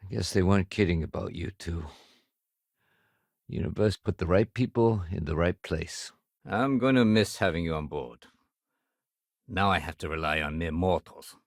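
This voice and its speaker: A man playing a steady, dutiful security sergeant in a video game, speaking conversationally with official firmness and dry wit. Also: lowish voice, no room sound. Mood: relieved, grave, then friendly. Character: steady, dutiful male spaceport security sergeant, dryly wry.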